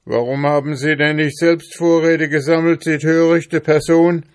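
A man's voice: a little high, a little slow, pitched above the performer's own voice. A man voicing a grumpy, stingy male hamster farmer in a storybook, blustering and grumbling indignantly. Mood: furious, indignant.

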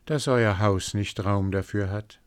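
A man voicing a faithful, clever servant in a fairy tale, calm and persuasive. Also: on the low side, measured pace, clean tone, natural voice.

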